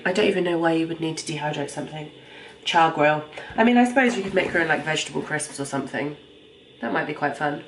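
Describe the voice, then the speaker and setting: A young woman vlogging from her home kitchen, chatting casually while she cooks, with a stuffy, bunged-up voice from a cold. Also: low voice. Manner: rambling, conversational, talks while cooking. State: congested with a cold. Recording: echoey room, faint noise.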